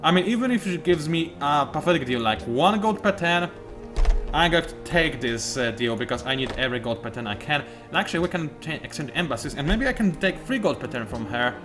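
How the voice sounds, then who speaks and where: A man's voice: a little high, expressive, a little fast, over music. A man giving casual, joking commentary as he plays a strategy game, thinking aloud and poking fun at himself. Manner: chatty, joking and self-mocking, thinking aloud. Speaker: video game let's play host.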